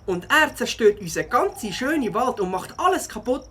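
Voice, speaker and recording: A plucky young beaver for a children's audio play. The voice is high, husky and animated. The character is outspoken and protective. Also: female voice, fairly quick, heavily acted. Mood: indignant.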